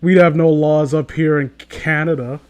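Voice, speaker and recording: A man's voice: on the high side, fairly even intonation, moderate pace, a little mellow. A man hosting a comedy reading, commenting casually as he reads aloud, with a sarcastic, foul-mouthed manner. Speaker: host of a comedy reading channel.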